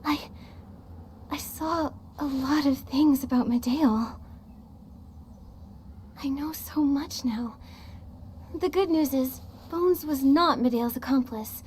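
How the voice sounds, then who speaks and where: A woman voicing a kind, earnest young heroine in a video game, speaking gently and carefully with a soft tone. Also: high-pitched, slow, long pauses, a little hiss or hum. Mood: gentle, reassuring. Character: kind, earnest young heroine recounting what she saw. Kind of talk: video game story acting. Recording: faint music behind the voice.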